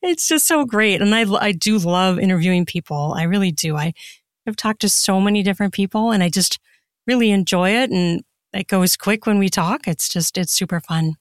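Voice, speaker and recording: Woman podcast guest, mid pitch, gushy n emphatic, casual chat, super dry audio. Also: normal speed, no echo. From United States. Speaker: podcast guest, erotica author.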